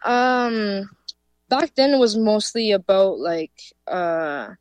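A woman's voice: medium pitch, lively intonation, slow, a little mellow, smooth, no echo, lo-fi sound. A woman from Canada, a musician answering interview questions in a loose, casual way full of fillers. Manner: loose, filler-heavy, with frequent "like". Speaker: musician guest.

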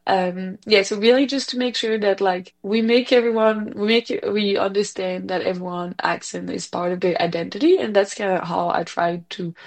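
A woman from Belgium speaking English with a Belgian French accent, her first language being French. Her voice has a gravelly, creaky edge. She talks in a chatty way, full of fillers and restarts. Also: fairly low pitch. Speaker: executive director, guest. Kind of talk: casual interview chat.

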